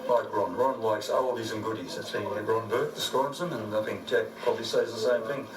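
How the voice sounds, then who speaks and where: An older man with an Australian accent hosts a community radio show. His delivery is easygoing and gentle, rambling along in halting phrases as he chats live on air. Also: lowish voice, fairly even intonation, echoey room, poor audio.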